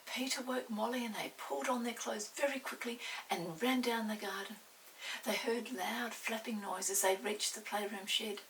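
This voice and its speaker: A woman's voice: a little high, steady tone, moderate pace, a bit bright. An older woman narrating a children's story expressively, with dramatic touches. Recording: distant mic with hiss.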